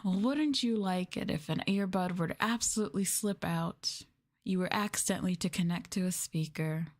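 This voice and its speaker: A woman's voice: fairly low pitch, moderate pace. A woman streamer doing an ASMR roleplay, talking down to her listeners in a mocking, teasing, sultry way. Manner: condescending, mocking, sultry taunting. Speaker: streamer, VTuber host.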